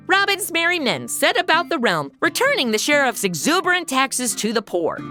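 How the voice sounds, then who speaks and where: Flippant, high-pitched, bright modern chatterbox. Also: female voice, very animated, moderate pace, faint music behind, exaggerated voice. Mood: reading narration. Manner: snarky, casual, rambling. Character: flippant modern young woman, chatty, easily distracted, irreverent. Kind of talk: comedy audio drama, modern asides.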